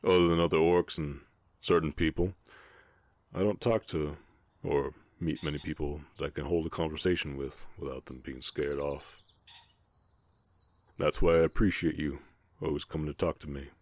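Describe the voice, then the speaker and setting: Man playing a very deep-voiced Southern orc ranch hand. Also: fairly even intonation, no echo. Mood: tender, earnest. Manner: halting, polite, soft-hearted drawl with folksy turns. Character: big strong gentle orc ranch hand, shy and guarded. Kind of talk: intimate ASMR romance roleplay, Western setting.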